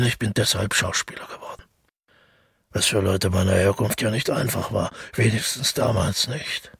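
A male actor playing a brooding, self-doubting former stage actor in a radio drama monologue, musing haltingly in a husky, breathy voice. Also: fairly low pitch, fairly bright tone, no room sound, studio quality, full character voice. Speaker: narrator and lead of a radio play. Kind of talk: intimate first-person radio drama monologue.

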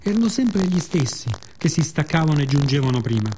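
Mellow Roman man telling a reflective story. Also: on the high side, big pitch swings, a little fast, slight background noise. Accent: Roman Italian. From Rome, Italy. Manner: reflective, wry, with colloquial asides. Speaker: writer-performer of radio theatre monologues. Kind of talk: scripted storytelling monologue, conversational and literary.

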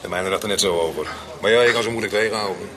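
Actor as fussy middle-aged client, chatter behind. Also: male voice, on the low side, rapid-fire, lightly acted. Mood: sociable. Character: fussy, nagging male client, father of grown daughters. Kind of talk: radio drama acting.

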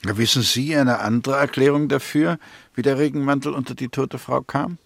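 A man's voice: mid-range voice, moderate pace, creaky, no echo. An actor in a 1960s German radio play, playing a stubborn, sceptical male prosecutor with a curt, challenging manner.

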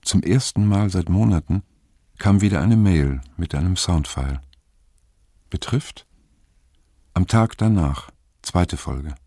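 An actor playing a brooding, disillusioned narrator in a radio drama, speaking reflectively and somberly with a gravelly voice. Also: male voice, sits low, slow pace, pauses often, dark tone, full character voice. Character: brooding, disillusioned male narrator recalling troubling times. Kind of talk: scripted first-person radio drama narration.

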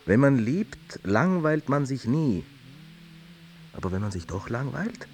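A man's voice: mid-range voice, very animated, measured pace. A man acting as an aging, disillusioned poet, reciting literary miniatures in a wry, irritable, theatrical way. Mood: indignant, mocking. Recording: music under the voice.

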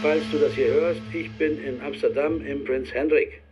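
A man's voice: fairly high pitch, steady tone, moderate pace, noisy background, natural voice. A man acting a musician who leaves a tentative message for a friend in a radio crime drama. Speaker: actor playing a caller leaving a message. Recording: dull, lo-fi voice under loud music.

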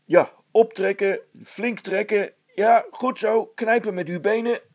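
A man's voice: high-pitched, fairly even intonation, a little slow, no echo, voice pushed up. A man voicing a brusque, practical father in a children's story, blunt, emphatic and easily exasperated. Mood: urging, instructing.

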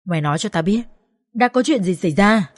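A woman's voice: mid-range voice, animated, fairly quick, dry recording, studio quality, natural voice. A woman voicing a blunt, fiercely loyal young woman who scolds coarsely and emphatically in an audio story. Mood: alarmed. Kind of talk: dramatised audio story dialogue.

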